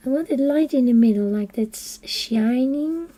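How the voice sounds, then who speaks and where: Slow, mellow Dutch-accented woman. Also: high-pitched, low-quality recording. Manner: soft-spoken and unhurried, drifting into quiet half-whispers. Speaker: coloring channel host. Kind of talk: casual chat while coloring on a live stream.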